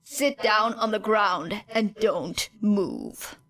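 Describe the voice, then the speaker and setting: A woman's voice: mid-range voice, animated, extremely slow, fairly bright tone, full character voice. Woman doing a sardonic, commanding teen boy. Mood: mocking.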